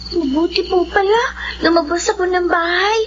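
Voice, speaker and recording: A woman's voice: very high voice, fairly even intonation, normal speed. A woman playing a polite, obedient and timid young daughter in a horror radio drama. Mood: polite, earnest. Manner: polite, deferential. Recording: muffled radio drama, faint noise.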